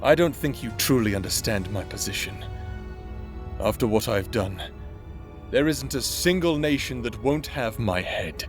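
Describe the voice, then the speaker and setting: Guy doing a cold necromancer villain, calm and threatening, audio drama. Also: mid-range voice, unhurried, over music, lightly acted. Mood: menacing. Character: menacing male necromancer, scheming and cold.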